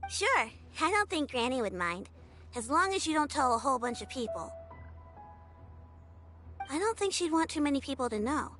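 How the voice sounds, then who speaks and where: A woman's voice: sits high, big pitch swings, normal speed, long pauses, a bit bright, soft background music. An earnest young girl.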